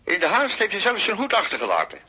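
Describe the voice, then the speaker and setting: High, sharp-voiced police commissioner. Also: male voice, wildly swooping pitch, fast, slightly rough, dull audio, heavily acted. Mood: formal, concerned. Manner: formal, deferential, precise. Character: dutiful, formal male police commissioner making a report. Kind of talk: radio drama acting.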